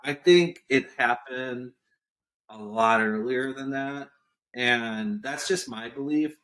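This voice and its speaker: A man in his forties sits in as a podcast guest and talks in an emphatic, casual way. He repeats his key points for effect and clears his throat or coughs now and then, at an everyday mid pitch. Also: slow pace, fairly bright tone, rough recording. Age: 40s. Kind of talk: casual interview talk.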